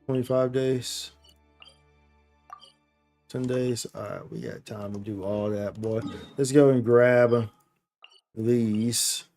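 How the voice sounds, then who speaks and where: Man, game streamer, mellow tone, casual slangy chat, playful, rambling, repeats himself, faint music behind. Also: medium pitch.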